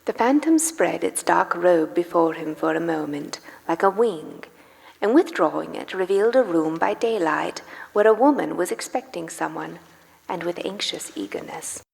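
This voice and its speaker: A woman narrating a dramatic story live in a reverberant church, with grave, deliberate phrasing. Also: on the low side, expressive, gravelly, a little mellow. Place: live performance in a large church. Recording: reverberant church hall, music behind. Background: music.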